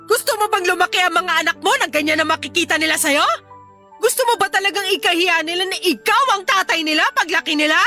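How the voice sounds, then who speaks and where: An exasperated young Filipino wife, pregnant and sharp-tongued, in a radio drama. Her voice is extremely high and piercingly bright. She scolds with full melodrama, faint music playing underneath. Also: fast. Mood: angry, hurt.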